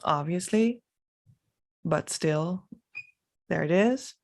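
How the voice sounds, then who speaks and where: A woman from the Netherlands, a native Dutch speaker with a Dutch accent, giving a reflective, unscripted vlog monologue with halting, trailing phrases. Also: on the low side, expressive, gravelly. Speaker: spiritual vlogger.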